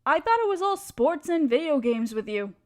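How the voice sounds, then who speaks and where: A woman's voice: high-pitched, very animated, moderate pace. A woman playing a playful, affectionate wife who loves poetry, theatrical and teasing, in a romantic audio roleplay.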